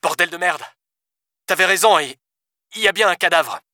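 A man's voice: high-pitched, moderate pace, pushed and bright, dry recording, studio quality, pitched above the performer's own voice. A man reading aloud, voicing a blunt, swearing male police officer in a raised voice. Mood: shaken. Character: gruff foul-mouthed male French cop.

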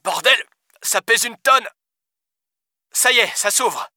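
A man's voice: extremely high pitch, expressive, slow pace, sharp tone, no room sound, clean studio sound, voice pushed up, heavily acted. A man reading aloud, voicing a blunt, swearing male police officer in a raised voice. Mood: gruff. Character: gruff foul-mouthed male French cop.

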